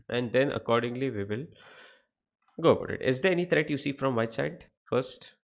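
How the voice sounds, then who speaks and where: A man's voice: mid-range voice, animated, normal speed, creaky. A young man with an Indian English accent teaching chess on a livestream, explaining positions, asking viewers questions and greeting the chat by name. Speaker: chess coach. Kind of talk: live-streamed chess lesson.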